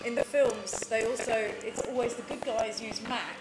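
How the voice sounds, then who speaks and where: A woman's voice: a little high, fairly even intonation, moderate pace. A woman on a podcast panel, chatting casually in a pub. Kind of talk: casual chat. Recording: echoey pub with loud music.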